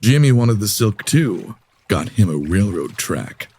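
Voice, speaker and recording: Guy doing a cocky fifties gangster, low voice that swings around a lot, taunting, radio drama. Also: sing-song, a little slow, darkish tone, slight background noise. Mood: menacing, wary. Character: cocky menacing male 1950s smuggler gangster. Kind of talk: comedy audio drama acting.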